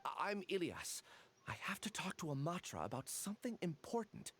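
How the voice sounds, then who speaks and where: Husky, distressed, determined man. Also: high-pitched, sing-song, a bit bright, full character voice. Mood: weak, urgent. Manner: halting, strained, urgent. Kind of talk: video game voice acting.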